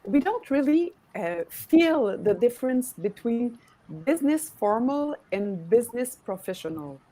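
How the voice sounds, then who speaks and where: A woman from Montreal with a Québécois French accent, whose first language is French, speaking haltingly as a panelist on a video call. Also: on the high side, very animated, warm tone, rough recording. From Montreal, Canada. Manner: hesitant, halting, with restarts and laughs. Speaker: panelist, image consultant.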